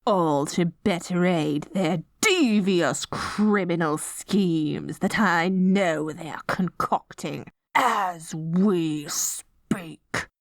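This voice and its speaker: A woman's voice: fairly low pitch, extremely slow, hardly any pauses, no echo. A woman playing a dorky, melodramatic young evil queen in an audio roleplay, stammering when flustered and grandiose in her villainy. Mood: gleefully scheming. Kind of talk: scripted ASMR audio roleplay.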